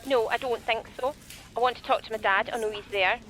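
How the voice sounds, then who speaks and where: A woman's voice: fairly high pitch, fairly even intonation, brisk, close to an everyday voice, poor audio. A young woman playing an upset, demanding daughter on the phone in a radio play. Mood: insistent, bitter. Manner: demanding, pleading.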